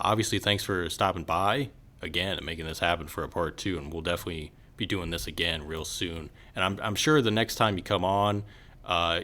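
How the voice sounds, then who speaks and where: An American man hosts a podcast in a casual, conversational way. His voice is very low, with a crisp, forceful brightness. He speaks in an encouraging, affirming manner. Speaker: podcast host. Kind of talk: casual interview chat.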